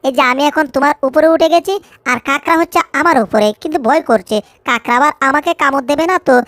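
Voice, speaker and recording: An extremely high little cartoon cat voice. The cat is timid and naive, pleading one moment and eager the next. It sounds dry, without room echo. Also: female voice, fast talker, fairly bright tone. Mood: nervous, urging. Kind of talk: cartoon fan dub.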